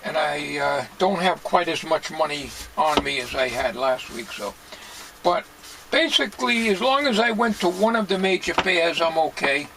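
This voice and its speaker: An older man talking casually as he works at a bench in a home workshop, plain-spoken and musing, with halting delivery. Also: fairly high pitch, expressive, gravelly. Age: 60s or older. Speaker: hobbyist radio restorer. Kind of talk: casual talking while working. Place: cluttered home electronics workshop, indoors. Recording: noisy home workshop recording.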